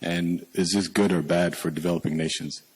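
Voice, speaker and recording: A man's voice: low voice, fairly even intonation, normal speed, husky. A man from Sudan in the audience, asking a long, articulate question.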